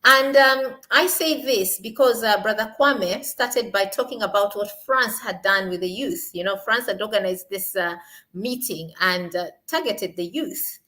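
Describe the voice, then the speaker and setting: A young woman from Kenya with a Kenyan accent, speaking at a panel in an emphatic way that rises to forceful peaks on her key points. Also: medium pitch, unhurried. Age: young adult. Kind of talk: impassioned panel talk.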